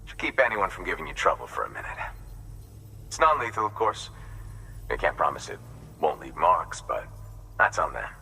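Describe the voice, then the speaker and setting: Game cutscene: wary, gruff prepper craftsman, folksy, very low and hard-edged voice. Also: sing-song, moderate pace, long pauses, bright, forceful tone, recorded from a distance, exaggerated voice. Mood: friendly, proud. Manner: folksy, colloquial, sighing. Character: gruff, wary prepper craftsman, world-weary but warming. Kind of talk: video game cutscene acting.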